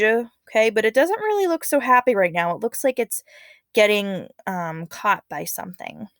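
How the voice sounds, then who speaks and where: Female teacher, medium pitch, instructive and casual, reading a storybook to students with expression; dry recording. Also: fairly bright tone, no room sound. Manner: instructive, checks in with okay and all right. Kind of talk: expressive read-aloud with casual teaching asides.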